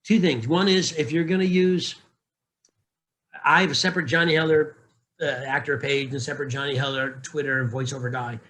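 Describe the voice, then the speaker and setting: A man's voice: medium pitch, fairly even intonation, normal speed, a bit bright. A middle-aged man, a narration coach, talking candidly in casual panel chat, joking and often restarting his sentences.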